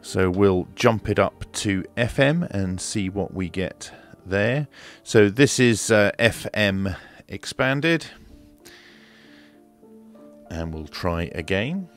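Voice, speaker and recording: Male product reviewer, deep register, slow hesitant delivery, plain step-by-step walkthrough. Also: expressive, faint music behind. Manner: unhurried, matter-of-fact, hesitant step-by-step explaining. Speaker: radio reviewer and demonstrator. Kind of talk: casual product demonstration. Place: indoor room at a desk.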